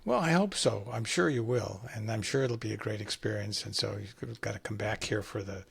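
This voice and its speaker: Husky gravelly man hosting an interview. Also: on the low side, normal speed. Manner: probing, plain-spoken questions. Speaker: interview host. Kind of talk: casual interview questions.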